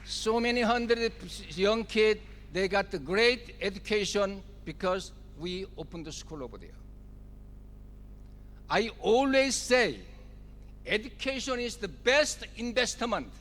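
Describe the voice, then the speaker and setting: A man's voice: sits high, animated, slow, a bit bright, in a large hall. A man in his seventies from South Korea, speaking English with a Korean accent and giving a speech at a forum in short, emphatic phrases, repeating key words. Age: 70s. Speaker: guest speaker.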